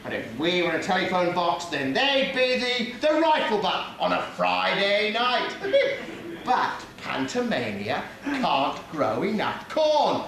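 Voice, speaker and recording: Cheeky English panto dame, male actor, brash housekeeper, high and wildly swooping, live echoey stage. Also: sing-song, takes it slowly, creaky, fairly bright tone, exaggerated voice. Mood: chatty, joking. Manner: teasing, exclamatory, playing to the audience. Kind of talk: British pantomime stage comedy. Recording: echoey theatre hall, noisy audience.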